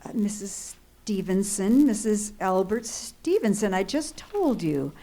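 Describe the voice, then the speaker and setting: An actress playing an anxious, imperious bedridden married woman in a radio suspense play, flustered, halting and indignant. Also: mid-range voice, very animated, dark tone. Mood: exasperated, anxious. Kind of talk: old-time radio suspense play acting. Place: live theatre stage.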